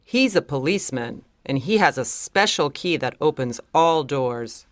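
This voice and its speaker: Woman voicing excitable schoolgirl Barbara. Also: low voice, a little slow, dry recording, pitched below the performer's own voice. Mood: worried. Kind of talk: audiobook reading.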